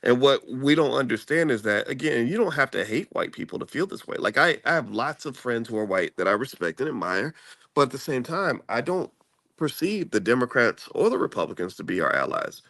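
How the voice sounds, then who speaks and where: Gravelly-voiced man chatting casually. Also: medium pitch, very animated, a little fast, fairly bright tone, no room sound. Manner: rambling, restarts, conversational. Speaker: channel host. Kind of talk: casual political chat. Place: traveling, in a room before a flight.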